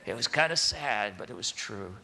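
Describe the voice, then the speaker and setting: A man's voice: lowish voice, animated, moderate pace, vocal fry, bright, forceful tone. An older man acting as master of ceremonies, making informal introductions to a live audience. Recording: live hall recording, crowd and noise. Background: audience crowd noise.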